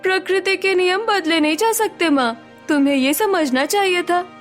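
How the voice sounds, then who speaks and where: A woman playing a female character in a magical animated moral tale. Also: very high-pitched, moderate pace. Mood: gentle firm. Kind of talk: animated moral story acting. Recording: music behind the voice.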